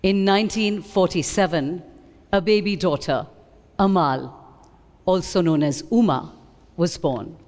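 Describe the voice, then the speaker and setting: A woman reading a prepared synopsis aloud at a live event, in measured, clear phrases. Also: on the low side, darkish tone. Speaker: reader at a book event. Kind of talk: scripted synopsis reading to an audience. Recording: long hall reverb.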